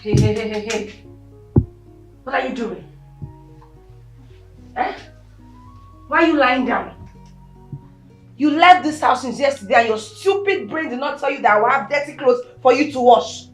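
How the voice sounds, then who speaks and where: Bullying wife, played by a woman; high, shrill scolding, echoey room sound. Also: moderate pace, pauses often, soft background music, close to an everyday voice. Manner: scolding, insulting, repeated angry questions. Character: bullying jealous wife, loud and threatening. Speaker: actress in a domestic skit.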